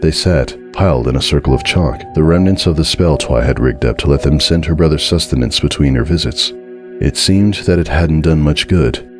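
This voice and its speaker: A man with an exceptionally deep voice reads a horror story aloud as its narrator. His delivery is dramatic, deliberate and suspenseful, with music playing underneath.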